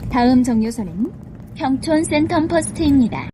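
A woman's voice: high-pitched, lively intonation, moderate pace. A woman reading scripted bus stop announcements in a formal, carefully enunciated way. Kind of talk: scripted public transit announcement. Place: played over speakers inside a moving bus. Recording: noisy recording of bus cabin speakers. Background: loud vehicle and road noise.

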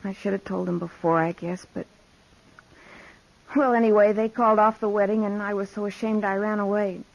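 Lady playing a worried young saloon girl, ashamed, medium pitch, old 50s radio drama. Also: steady tone, a little fast, gravelly, muffled recording, close to an everyday voice. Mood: wistful, ashamed. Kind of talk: 1950s radio western acting. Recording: 1950s radio drama recording.

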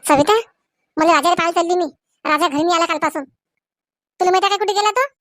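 A woman's voice: very high voice, fast, fairly bright tone, dry recording, studio quality. A woman voicing a stern, scolding village matron in a comedy cartoon, with a rural Maharashtrian accent and an emphatic delivery. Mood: worried, indignant. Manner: emphatic, admonishing. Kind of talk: Marathi comedy cartoon dialogue.

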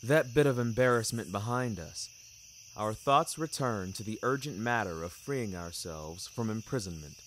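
A man's voice: lowish voice, expressive, moderate pace, a bit bright, a little hiss or hum. A man narrating a scripted first-person story in a wry, theatrical, ornate manner. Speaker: narrator of a fiction podcast. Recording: music bed behind narration. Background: music.

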